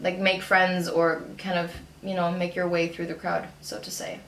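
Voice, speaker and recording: A woman's voice: lowish voice, flat delivery, moderate pace, a bit bright. A young woman chatting casually as a guest, reflecting informally on her own experience. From born in Dubai, moved around often. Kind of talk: casual conversational discussion. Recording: echoey room with some background noise.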